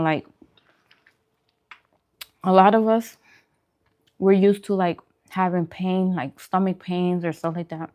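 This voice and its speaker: A woman with a slightly low voice talks casually to her viewers as a food vlog host. She speaks in colloquial slang and leans on emphatic repeats, like someone telling a story to friends. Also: a little mellow. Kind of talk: casual chat to viewers during a mukbang livestream.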